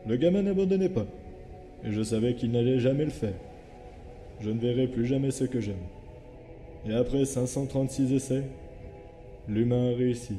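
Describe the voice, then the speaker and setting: A man voicing a weary, guilt-ridden skeleton hero in a comic dub, speaking in a subdued, halting way. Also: medium pitch, mellow, faint music behind, natural voice. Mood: weary, resigned. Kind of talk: dramatic comic dub acting.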